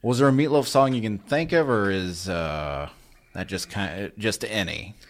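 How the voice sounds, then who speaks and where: Male host, lower voice, chatty and halting. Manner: hesitant, lots of fillers. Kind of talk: casual interview.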